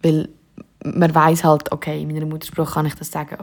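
A woman's voice: deep voice, completely flat delivery, brisk, noisy background. A young woman with a Swiss German accent, a singer-songwriter casually answering interview questions with many fillers.

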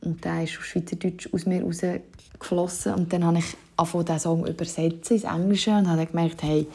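Swiss German singer, young woman, low mellow husky voice, chatty and loose with fillers. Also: level tone, a little fast. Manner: casual, many fillers and "wie". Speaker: singer-songwriter. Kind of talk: interview answers.

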